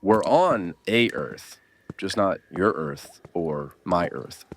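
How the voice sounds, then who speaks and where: A man's voice: deep voice, expressive, slow, slight background noise, exaggerated voice. An actor playing a middle-aged, weary and wounded survivor in a horror audio drama, wry and slangy as he guides someone. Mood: guarded, hushed urgency.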